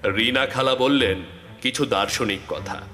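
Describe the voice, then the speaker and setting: A man's voice: medium pitch, unhurried, sharp tone, big-room sound. A man narrating a scripted comic cartoon story.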